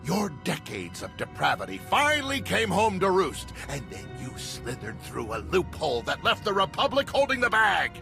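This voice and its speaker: A man's voice: high voice, huge pitch swings, moderate pace, vocal fry, hardly any pauses, pushed and bright, full character voice. An actor playing an exasperated, contemptuous male Republic official. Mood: outraged. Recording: game cutscene with music behind.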